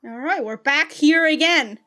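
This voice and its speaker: Young woman, anime reaction host, casual chat, laughs often, swears in outbursts, small home room, fairly high voice. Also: measured pace, sharp tone.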